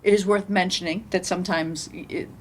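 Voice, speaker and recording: A low-voiced woman talks on an informal theater panel. She speaks haltingly but with emphasis, repeating key words. There is clear room noise behind her. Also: steady tone. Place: indoor library event room.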